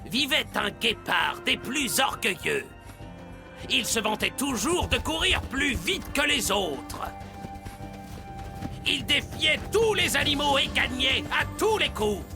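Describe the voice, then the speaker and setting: Wise cartoon animal storyteller: high gravelly voice, dramatic fable delivery, music underneath. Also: male voice, heavily acted. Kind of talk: animated series dubbing, fable narration. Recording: music behind.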